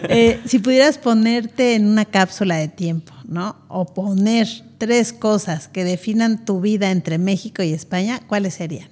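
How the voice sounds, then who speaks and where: Brief: woman interviewer, warm encouraging questions, mid pitch. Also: normal speed, hardly any pauses. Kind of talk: casual interview conversation.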